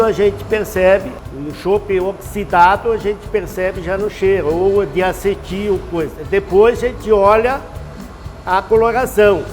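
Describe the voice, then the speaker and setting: A man, a master brewer explaining his craft in an unhurried, folksy, instructive way. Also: high-pitched, in a large hall. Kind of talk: explanatory interview answers.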